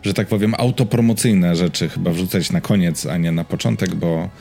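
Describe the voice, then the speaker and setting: A man's voice: low voice, normal speed, gravelly, warm tone. A man co-hosting a podcast, talking casually and often hesitating as he searches for words. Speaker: podcast co-host.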